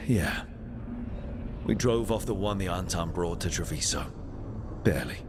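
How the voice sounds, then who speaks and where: An actor playing a wry, determined hero mage in a video game, plain-spoken and dryly sardonic. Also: male voice, low voice, lively intonation, normal speed. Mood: serious. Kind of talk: dramatic video game dialogue. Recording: long hall reverb, clear background noise.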